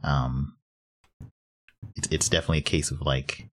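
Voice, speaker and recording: Very deep-voiced hesitant man. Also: steady tone, normal speed, fairly bright tone, a little hiss or hum. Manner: hesitant, searching for words. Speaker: tabletop game player.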